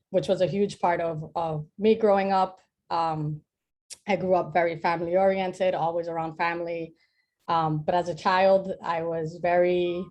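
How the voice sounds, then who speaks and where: Calm low-voiced woman from the Dominican Republic. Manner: calm, measured, with frequent "um". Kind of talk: casual class chat with students.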